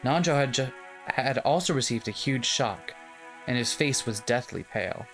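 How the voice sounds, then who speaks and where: A streamer reading a visual novel's text aloud in a steady voice, breaking off for wry jokes. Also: medium pitch, moderate pace, faint music behind, low-quality recording. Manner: wry, jokey asides between steady reading.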